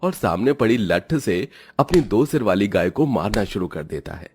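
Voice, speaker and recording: A man narrating a cartoon moral story in a steady, dramatic storytelling style. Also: on the low side, normal speed, a little hiss or hum.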